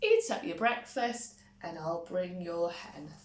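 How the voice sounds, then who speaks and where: Woman acting a brisk, bossy storybook giantess. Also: on the low side, lively intonation. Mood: placating. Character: huge brisk giantess, bossy but helpful wife. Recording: roomy echo, faint background noise.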